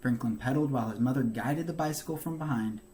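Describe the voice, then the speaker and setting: A man reading a children's book aloud as a teacher, with expressive, emphatic narration for young listeners. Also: medium pitch, fast talker, a little hiss or hum.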